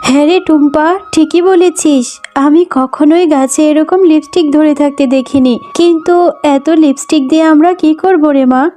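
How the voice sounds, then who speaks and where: A woman's voice: sits high, normal speed, mellow, close to an everyday voice. A woman voicing a scolding, hard-working mother fox in a children's cartoon, with a nagging, motherly manner. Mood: amazed, relieved.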